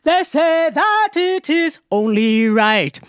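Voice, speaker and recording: A woman storyteller voicing a brave young village girl who calls out in a slow, half-sung chant. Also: high-pitched, sing-song, no room sound, clean studio sound, soft background music, voice pushed up, exaggerated voice. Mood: bold, pleading. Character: brave young African village girl calling to water creatures.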